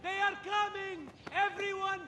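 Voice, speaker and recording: An actor playing a loyal soldier in a game, calling out urgent warnings with a raised voice. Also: male voice, very high-pitched, little pitch movement, measured pace, slight background noise, heavily acted. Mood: alarmed, urgent. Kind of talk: video game cutscene acting. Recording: loud music over the voice.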